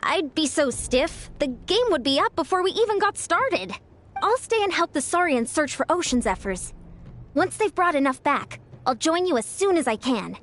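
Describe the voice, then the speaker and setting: A woman's voice: high voice, sing-song, moderate pace, a bit bright, a little hiss or hum. A woman playing a bubbly, chirpy, sociable young shopkeeper in a video game. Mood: upbeat. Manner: chirpy, enthusiastic. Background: background music.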